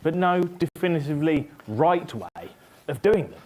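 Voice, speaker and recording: A man's voice: a little high, big pitch swings, normal speed, crowd noise. A man speaking chatty, reflective vlog narration, played back from a clip in a room with an audience.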